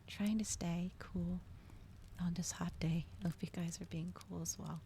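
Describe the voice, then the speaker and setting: A woman doing a soft, whispered ASMR reading as a streamer, slow and breathy, in a hushed manner. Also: on the low side, steady tone, a little hiss or hum. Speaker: streamer host reading aloud.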